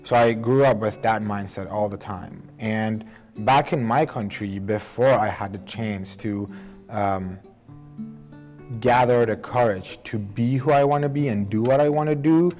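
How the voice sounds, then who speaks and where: A man's voice: lowish voice, a little mellow, soft background music. A young man from Iran with a Persian accent, speaking earnestly and thoughtfully about his own life in a documentary interview, sometimes restarting his sentences. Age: young adult. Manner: earnest, measured, with self-corrections. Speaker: documentary subject. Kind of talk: reflective personal interview.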